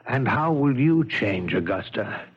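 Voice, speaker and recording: Husky, worried, modest scientist. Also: male voice, mid-range voice, lively intonation, measured pace. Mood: fearful, doubting. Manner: hesitant, fretful, stammering. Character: modest, worried male scientist, quiet and doubting. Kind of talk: 1970s radio drama acting. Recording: dull vintage radio broadcast sound.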